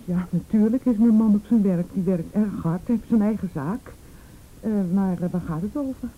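An actress playing a defensive, easily flustered mother in a radio drama, with an agitated, halting delivery. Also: narrow, tinny audio, lightly acted. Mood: indignant. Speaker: actor in a radio play. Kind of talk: Dutch radio drama acting. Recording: rumbly, noisy old radio recording.

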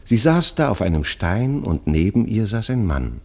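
A man narrating a literary radio play in a husky voice, with lyrical, expressive storytelling. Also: fairly low pitch, moderate pace.

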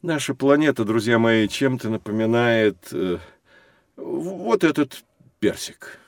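An actor playing a patient, learned science teacher who explains things to children in a measured, didactic way for an educational audio drama. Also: male voice, medium pitch, lively intonation, creaky, no echo, studio quality. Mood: patient, instructive.

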